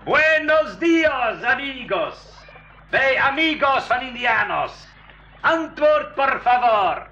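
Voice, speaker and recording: An actress playing a friendly explorer calling out haltingly in broken pidgin in a school radio play. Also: female voice, high voice, sing-song, pushed and bright, heavily acted. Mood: friendly hailing. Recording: echoey, dull, noisy 1980s radio play.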